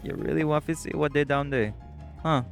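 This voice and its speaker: A man's voice: a little high, fairly even intonation, brisk, creaky, music in the background. A man playing a teasing, protective shapeshifter boyfriend in a romantic audio roleplay, with a Caribbean accent and emphatic, coaxing Creole speech. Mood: excited, playful.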